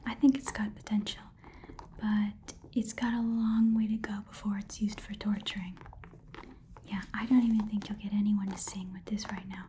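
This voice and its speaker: A woman voicing a chatty, haggling goblin pawn shop keeper in a breathy whispered ASMR roleplay, with casual muttered asides. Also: medium pitch, level tone, moderate pace, dark tone, slight background noise, close to an everyday voice. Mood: skeptical, musing.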